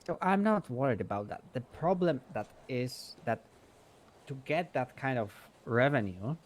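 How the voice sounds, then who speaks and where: A man's voice: medium pitch, animated, unhurried. A man playing a blunt, foul-mouthed male mechanic and shop owner, talking in a rambling, emphatic way in improvised game roleplay. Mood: confident, explanatory. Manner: rambling, emphatic, swears freely.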